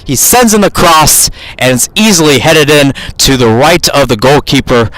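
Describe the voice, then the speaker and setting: A man's voice: fairly high pitch, normal speed, fairly bright tone, no room sound, poor audio. A man giving live radio play-by-play of a soccer match from outdoors at the field, calling it in short running phrases with asides. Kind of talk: live radio sports commentary.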